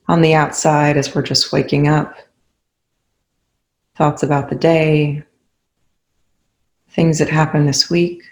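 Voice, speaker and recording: Meditation guide: soothing and unhurried, flat steady melody, medium pitch, leaves long pauses between gentle instructions.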